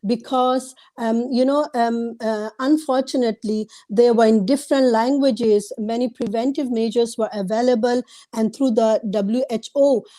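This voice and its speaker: A woman's voice: a little high, fairly even intonation, normal speed, hardly any pauses, mellow. Earnest woman doctor on a video call. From Myanmar (Rohingya), raised abroad. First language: Burmese. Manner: earnest, many ums and restarts. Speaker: doctor and activist guest. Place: room at home, indoors. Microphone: wired earphone headset on a video call.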